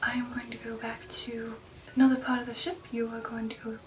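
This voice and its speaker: A woman voicing a refined, affectionate female vampire in an intimate ASMR roleplay, with a breathy whisper and a genteel manner. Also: a little high, flat delivery, brisk, recorded from a distance, slight background noise, close to an everyday voice. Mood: politely intrigued. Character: refined, affectionate female vampire recounting an old shipboard romance. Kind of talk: ASMR roleplay, personal attention.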